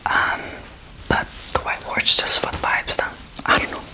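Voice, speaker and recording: A woman in her twenties giving an ASMR language lesson in a whisper, casual, playful and encouraging as she teaches. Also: normal speed. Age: 20s. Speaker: host teaching beginner German.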